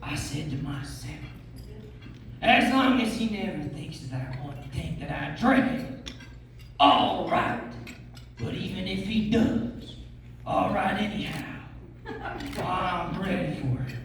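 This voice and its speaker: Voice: mid-range voice, lively intonation, slow, long pauses, fairly bright tone. An actor playing a brash, boastful old teller of tall tales in a readers theatre show, theatrical and indignant when doubted. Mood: suspenseful, boastful. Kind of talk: readers theatre storytelling on stage. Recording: echoey room from far off, noisy.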